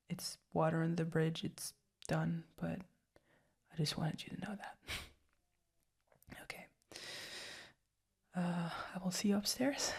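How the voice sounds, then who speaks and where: A young woman speaking tenderly in a soft whisper, as in an ASMR roleplay. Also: lowish voice, vocal fry, breathy edge. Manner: tender, affectionate. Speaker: roleplay partner.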